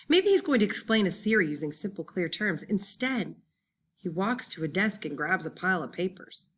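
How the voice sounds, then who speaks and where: A woman reading a first-person children's novel as an expressive, dramatic audiobook narrator. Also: lowish voice, moderate pace, husky. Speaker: audiobook reader.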